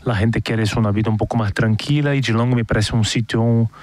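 Halting Brazilian-accented man. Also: lowish voice, steady tone, a little mellow. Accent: Brazilian Portuguese. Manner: halting, repeats words while searching for them. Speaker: radio guest. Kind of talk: casual interview talk.

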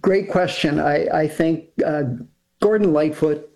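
A man's voice: fairly high pitch, unhurried, vocal fry, darkish tone. An older Canadian man chatting as an interview guest over a video call, rambling with frequent restarts. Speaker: guest, composer and TV producer. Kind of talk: casual interview talk.

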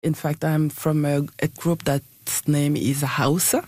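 A woman's voice: low-pitched, flat delivery, slow, a little mellow, dry recording. Woman with a West African French accent. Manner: hesitant, many restarts and fillers. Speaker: guest, political candidate. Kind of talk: casual interview conversation.

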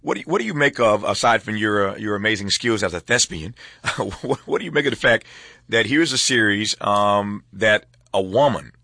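A man hosting a radio interview, asking long, halting, reflective questions. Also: on the low side, gravelly, bright, forceful tone, dry recording, studio quality. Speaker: talk show host.

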